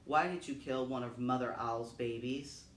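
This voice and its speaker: A man voicing a grave, commanding lion king in a storybook, with slow, emphatic, solemn delivery. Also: medium pitch, steady tone, fairly bright tone, a little hiss or hum, close to an everyday voice. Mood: questioning. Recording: roomy, echoing, distant microphone.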